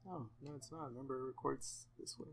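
Young man, casual let's play co-commentator, medium pitch with noticeable fry. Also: fairly even intonation, fast, slight background noise, rough recording. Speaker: gamer co-commentator. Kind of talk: casual chat.

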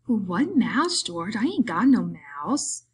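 Woman as a big simple-minded man, childlike, meek, innocent, raised pitch, halting folksy speech, audiobook character. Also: slight background noise, close to an everyday voice. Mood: evasive innocence.

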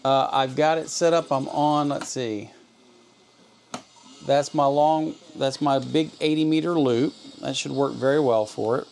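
A man's voice: mid-range voice, fairly even intonation, measured pace, vocal fry, darkish tone, slight background noise. A man hosting a hobby video, giving a casual hands-on demonstration and explaining things, often by asking and answering his own questions. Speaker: host of an electronics hobby channel.

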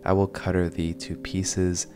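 Very deep-voiced young man narrating over music. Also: level tone, unhurried, mellow. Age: young adult. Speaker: host of horror video essay channel. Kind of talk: scripted narration, commentary. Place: small room indoors at home. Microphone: large desk microphone, close.

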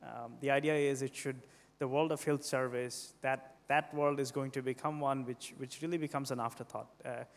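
A young man answers as a panelist in a semi-formal conference talk. His mid-pitched voice carries the long reverb of a large hall. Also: steady tone, normal speed. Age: young adult.

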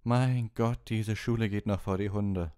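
A man voicing an arrogant schoolboy bully in a storybook reading, with mocking, drawn-out sarcasm. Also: lowish voice, steady tone, warm tone, clear, resonant tone, voice pushed up, lightly acted. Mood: scornful. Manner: drawling, exaggerated sarcasm.

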